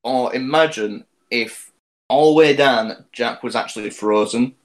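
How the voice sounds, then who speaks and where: A man's voice: mid-range voice, a little slow. A young man co-hosting a podcast, trading casual banter with friends. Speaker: podcast co-host.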